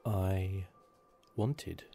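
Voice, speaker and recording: Man, very deep pitch, soft-spoken, rambling ASMR game commentary, noticeably noisy recording. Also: very slow, creaky. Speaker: gaming video host.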